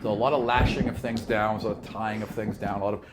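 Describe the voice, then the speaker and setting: A man's voice: lowish voice, fairly even intonation, fast, over loud music. A man narrating a tabletop role-playing game as game master, informal and conversational, swearing casually. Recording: noisy room recording.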